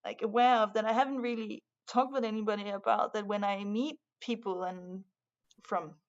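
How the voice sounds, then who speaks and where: A young woman from Denmark with a Danish accent, speaking English haltingly in a casual conversation, full of fillers and restarts. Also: medium pitch, fairly even intonation, dull audio. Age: young adult. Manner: hesitant, many fillers and restarts. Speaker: art student, guest.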